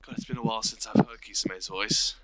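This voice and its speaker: A man's voice: lowish voice, steady tone, fairly quick, heavy background noise. A man who does voice acting, chatting casually as an interview guest. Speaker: interview guest, amateur voice actor.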